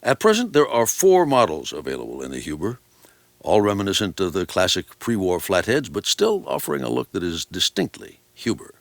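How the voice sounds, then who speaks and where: A man reading a scripted promotional voice-over in a smooth delivery. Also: sits low, huge pitch swings, a little fast, no room sound. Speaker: narrator. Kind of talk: scripted narration. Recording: music bed under voice-over.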